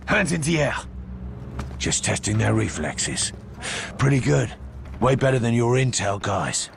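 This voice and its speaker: A man's voice: medium pitch, expressive, a little slow, gravelly, slight background noise. A man playing a bitter, insubordinate soldier in a video game, with a British accent and a blunt, sarcastic manner. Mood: surly, sarcastic. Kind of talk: video game dramatic acting.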